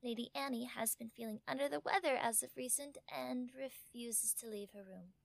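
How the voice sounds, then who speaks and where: A woman playing a caring, fussy palace attendant in an audio drama, with an expressive, exasperated delivery. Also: fairly high pitch, normal speed, vocal fry, no echo. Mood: formal, nervous.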